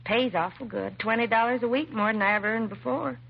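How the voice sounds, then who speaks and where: An American actress playing a timid, self-doubting young secretary in a 1950s radio drama, hesitant and apologetic. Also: female voice, a little high, a little fast, vocal fry, breathy edge. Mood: self-deprecating, nervous. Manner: hesitant, nervous, apologetic, trailing and restarting. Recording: muffled vintage radio recording, faint hiss.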